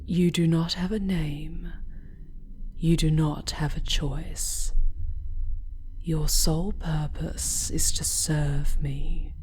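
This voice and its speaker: A woman playing a cruel, seductive, imperious dark elf noblewoman in an intimate ASMR roleplay, haughty and languid, with a breathy half-whisper. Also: deep voice, steady tone, slow, a little hiss or hum. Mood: imperious, possessive. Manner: haughty, languid, possessive purring.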